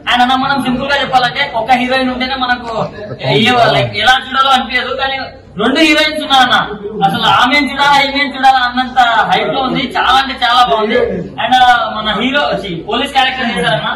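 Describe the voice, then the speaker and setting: A man's voice: very high-pitched, fairly even intonation, fast. A man giving a gushing, casual film reaction as a moviegoer, repeating himself for emphasis and mixing in English words. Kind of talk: casual excited public reaction. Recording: echoey, crowd around, distant from mic.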